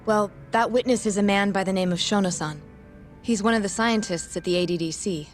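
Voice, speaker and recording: Actress as a careful young nurse, earnest n guarded, formal wording, game cutscene w/ faint music. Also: female voice, medium pitch, a little fast, lightly acted. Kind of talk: English-dubbed video game drama acting.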